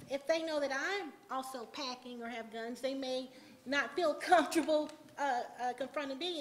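Woman panelist, high voice, animated, amused, table mic, faint room hum. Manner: animated, amused, quick anecdotes. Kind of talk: panel discussion answer. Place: lecture hall, at a panel table. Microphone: table microphone.

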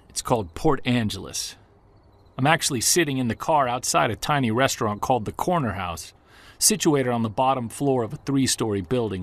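An actor playing an earnest male Bigfoot investigator who narrates in the first person, measured and defiant toward skeptics. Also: mid-range voice. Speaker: narrator and lead character. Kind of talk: audio drama narration.

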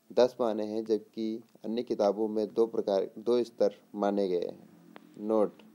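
A man giving an exam lesson read from notes in a deliberate way, posing questions and answering them, sometimes repeating words. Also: lowish voice, dark tone. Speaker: teacher.

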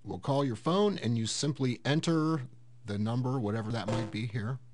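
A man hosting a casual software tutorial, explaining things step by step in an unhurried way. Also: medium pitch, a little hiss or hum. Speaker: host of a tech tutorial series.

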